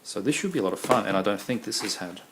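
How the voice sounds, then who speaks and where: A man's voice: lowish voice, animated, quick pace. A man showing his video collection in a casual, rambling way, full of ums, while losing his voice. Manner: rambling, many ums and uhs. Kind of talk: casual show-and-tell. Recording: noisy home recording.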